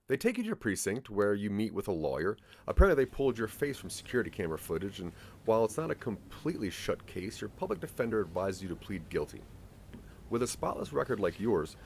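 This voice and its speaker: A man giving a dramatic, scripted second-person story narration in an expressive storytelling manner. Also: lowish voice, vocal fry.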